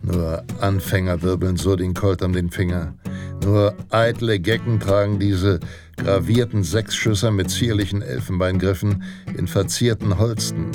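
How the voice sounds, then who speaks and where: An actor playing a brooding, scornful Western narrator in a radio drama, grave and terse, telling a gunslinger's tale. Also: male voice, low-pitched, steady tone, measured pace. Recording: voice over loud guitar music.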